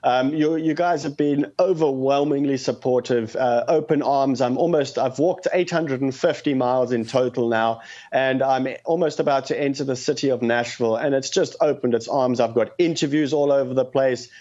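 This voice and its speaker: A man's voice: medium pitch, moderate pace, few pauses, dark tone. A man with a South African accent, speaking earnestly and passionately as a guest on a call-in show. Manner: earnest, impassioned. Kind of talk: phone-in interview. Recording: muffled lo-fi phone line.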